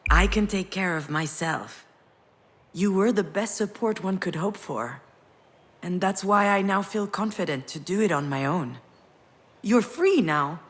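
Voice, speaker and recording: An actress playing a grieving, self-reproaching woman in a video game drama, speaking in an earnest, reflective, halting way. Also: on the low side, animated. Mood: calm, resolved. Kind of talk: dramatic visual novel voice acting with inner narration.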